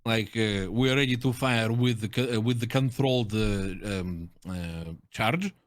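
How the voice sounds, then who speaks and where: A man's voice: lowish voice, measured pace, dry recording. A man chatting casually as a guest reviewer, often searching for his words as he explains. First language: Russian. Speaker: guest content creator and streamer. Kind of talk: casual chat, reviewing game voice lines.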